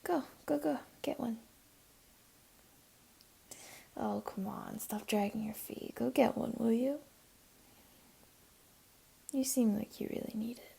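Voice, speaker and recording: Casting: woman to play teasing, doting jaguar girl for ASMR roleplay; soft, mellow, whispery, slightly high voice. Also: measured pace, pauses often, full character voice. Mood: coaxing, playful. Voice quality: soft, breathy, often whispery. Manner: teasing, cooing, soothing. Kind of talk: ASMR roleplay, intimate one-to-one.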